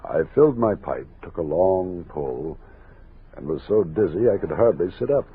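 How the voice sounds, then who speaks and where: An actor playing a steady frontier army captain who leads an expedition and narrates it in measured, commanding old-time radio style. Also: male voice, deep voice, breathy edge, heavily acted. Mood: reflective narration. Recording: tinny noisy old radio recording.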